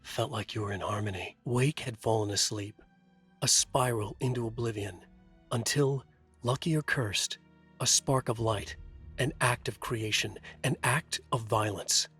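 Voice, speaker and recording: A man's voice: on the low side, a little slow, fairly bright tone, breathy edge, full character voice. Brooding, haunted male writer, music behind. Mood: bleak, intense. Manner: terse, brooding, dramatic. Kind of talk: scripted noir-style game narration.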